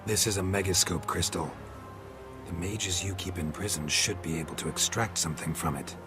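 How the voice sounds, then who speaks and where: An actor playing a gruff, world-weary monster hunter in a fantasy game, with a gravelly voice and clipped, deadpan delivery. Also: male voice, sits low, moderate pace, music in the background, close to an everyday voice. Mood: curt.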